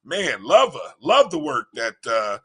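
A man hosting a casual online talk show, his voice froggy and hoarse from coaching, who often repeats words as he starts a thought. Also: medium pitch, wildly swooping pitch, unhurried, fairly bright tone. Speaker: podcast host. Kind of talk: casual chat.

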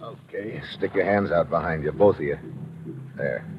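Low-voiced, hard-boiled American adventurer. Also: male voice, normal speed, noisy background. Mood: weary, wary. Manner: clipped, slangy, commanding. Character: tough, weary American adventurer hero, narrating his jungle ordeal. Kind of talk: old-time radio adventure acting, hard-boiled narration. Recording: dull vintage radio recording, music behind.

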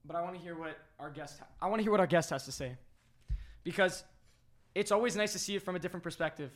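A man co-hosting a live sports podcast, losing his voice after yelling, animated and full of restarts. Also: high voice, fast talker. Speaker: podcast co-host. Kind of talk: excited live sports chat.

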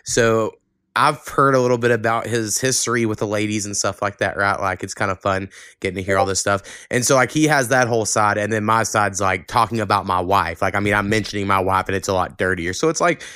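A man's voice: fairly low pitch, a little fast, sharp tone, clear, resonant tone, no echo, clean studio sound. A man in his thirties hosting a podcast in a casual, conversational way. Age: 30s. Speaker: podcast host. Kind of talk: casual chat.

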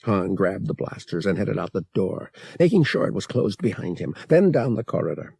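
Gravelly male audiobook narrator, animated. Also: sits low, normal speed, dry recording. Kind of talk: scripted dramatic fiction narration.

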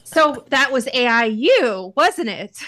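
A woman co-hosting a casual chat, skeptical and quick to laugh. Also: sits high, very animated, bright, forceful tone.

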